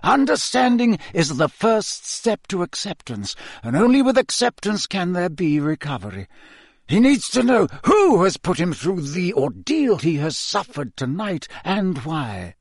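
Man voicing an ancient commanding wizard headmaster, raised pitch. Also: sing-song, no room sound, full character voice. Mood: stern. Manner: curt, authoritative, measured.

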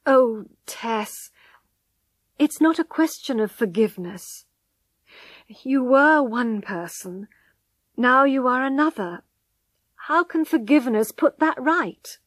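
A woman voicing a shocked, bitter husband in a dramatic story, stern and halting. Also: high voice, expressive, dry recording, pitched above the performer's own voice, exaggerated voice. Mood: cold, stern. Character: stunned husband, bitter, cold, unforgiving, bewildered.

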